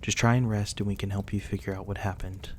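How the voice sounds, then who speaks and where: A man playing a courteous, reclusive young-looking vampire in an intimate ASMR roleplay, speaking softly and reassuringly in a politely old-fashioned way. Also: on the low side, flat delivery, fast talker, a little hiss or hum, lightly acted. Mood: soothing.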